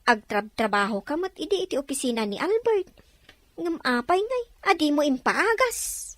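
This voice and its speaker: Very high, animated Filipino female confidante , acted. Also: normal speed, dry recording. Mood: curious, concerned.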